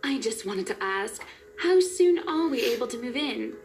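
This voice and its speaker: A woman's voice: a little high, expressive, normal speed, close to an everyday voice, rough recording. A woman voicing a haughty, wealthy socialite wife in a visual novel game, gushing with condescending, sugary politeness. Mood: sweetly pushy. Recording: music playing behind the voice.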